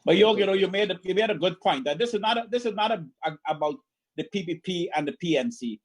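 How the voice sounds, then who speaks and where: A man's voice: high voice, expressive, fast talker. A man with a Guyanese accent speaking earnestly and emphatically as a panel guest, repeating phrases as he goes. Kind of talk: political panel discussion.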